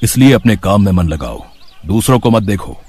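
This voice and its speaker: A man playing a bold, protective god in human guise, firm, righteous and reassuring, in an animated moral story. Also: lowish voice, normal speed, slightly rough. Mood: calm, admonishing.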